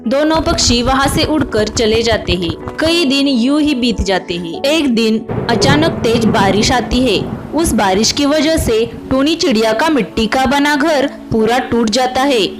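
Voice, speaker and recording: A woman's voice: high voice, lively intonation, measured pace, few pauses, over music. A woman reading scripted narration for a children's cartoon moral story.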